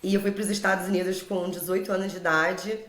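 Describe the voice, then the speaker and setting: Carioca woman in her 40s chatting as an interview guest, slightly low voice, echoey room. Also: little pitch movement, quick pace, a little hiss or hum. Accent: Carioca Brazilian Portuguese. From Rio de Janeiro, Brazil. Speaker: podcast guest, English teacher. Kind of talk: conversational personal storytelling in an interview. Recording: roomy echo.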